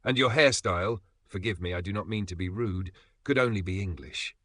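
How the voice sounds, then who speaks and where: A man's voice: deep voice, brisk, bright, forceful tone, dry recording. A man voicing an amused, ironic Italian businessman who teases a young man, drawn out and theatrical. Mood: teasing.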